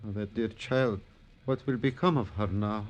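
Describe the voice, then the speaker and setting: An actor playing an anguished, unstable male ballet impresario, theatrical and halting, in an old-time radio drama. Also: fairly low pitch, natural voice. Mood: weary, sorrowful. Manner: theatrical, halting, repetitive, formal phrasing.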